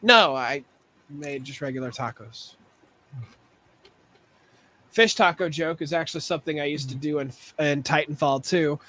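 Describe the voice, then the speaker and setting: A man in casual conversation on a podcast, telling rambling stories and joking. His voice sits a bit higher than most men's. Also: pushed and bright. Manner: rambling, joking, quick to laugh. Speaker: podcast co-host. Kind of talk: casual chat.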